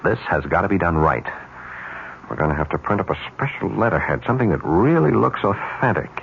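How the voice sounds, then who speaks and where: A man's voice: low-pitched, animated, a little fast, gravelly. An actor in a 1970s radio drama playing a cynical, scheming, fired ad agency man, sardonic and insistent. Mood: sly, scheming. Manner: sardonic, insistent, theatrical musing. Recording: dull, hissy old radio broadcast.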